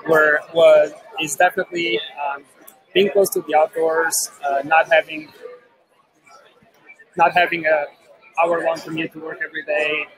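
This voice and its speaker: A man from Portugal, a research scientist, answering interview questions softly with frequent fillers. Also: fairly high pitch, flat delivery, measured pace, pauses often, slightly rough, rough recording. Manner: soft-spoken, with frequent fillers. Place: busy event with crowd.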